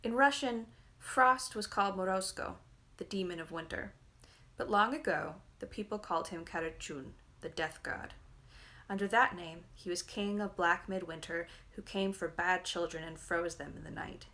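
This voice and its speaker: A woman reading literary fiction aloud in a measured, expressive storytelling manner. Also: lowish voice. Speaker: reader.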